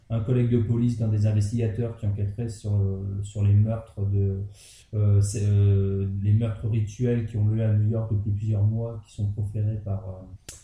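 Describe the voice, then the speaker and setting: A man co-hosting a podcast, talking casually in a halting way, with frequent fillers. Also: lowish voice, warm tone, very echoey, distant mic. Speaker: podcast co-host.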